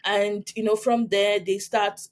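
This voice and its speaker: Guest: Nigerian-accented woman in her thirties, chatty, mid-pitched, repeats herself and checks in. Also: flat delivery, unhurried. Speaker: interview guest. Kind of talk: casual conversation.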